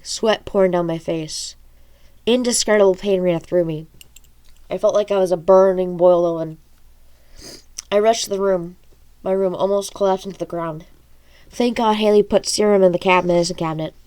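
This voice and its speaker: A woman's voice: fairly low pitch, a little mellow. A woman with a congested, allergy-stuffed nose reads her own fantasy story aloud as an amateur audiobook narrator, informal and stumbling over words now and then. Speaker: amateur audiobook reader and author.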